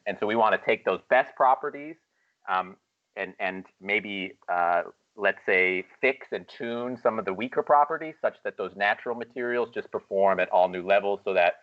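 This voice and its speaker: A man talks casually about his company, pausing on fillers as he goes. His voice sits low. The recording sounds muffled and lo-fi. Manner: casual, rambling, frequent fillers. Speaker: startup representative. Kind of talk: recorded video discussion. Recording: dull lo-fi sound.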